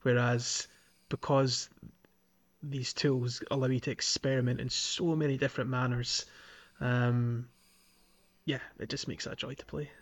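A man's voice: mid-range voice, level tone, a little slow. Guy on a gaming podcast, really into it, just chatting away casually. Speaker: podcast co-host. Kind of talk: casual chat about a video game.

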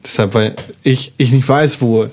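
A man's voice: mid-range voice, steady tone, a little slow, darkish tone. A man narrates an improvised tabletop game as one of its players. He talks in a casual, conversational way, with faint background noise. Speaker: podcast roleplay player.

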